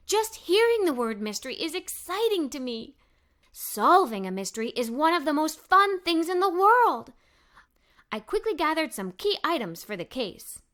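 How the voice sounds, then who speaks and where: A woman narrating a children's story as a read-aloud, with animated, expressive delivery. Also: very high-pitched.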